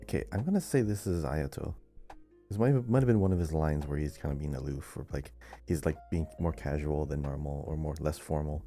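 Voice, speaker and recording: A man co-hosting a podcast as quiz contestant, thinking aloud casually in a halting, self-questioning way. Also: very deep voice, dark tone, soft background music.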